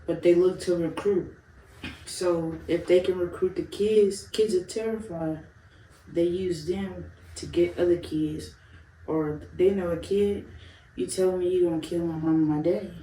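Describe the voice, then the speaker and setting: A woman's voice: lowish voice, steady tone, unhurried, dark tone, distant mic, a little hiss or hum. Young woman from Chicago, casual interview guest, candid, laughs and swears freely, roomy sound, voice off-mic. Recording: roomy, off-mic, faint ambience.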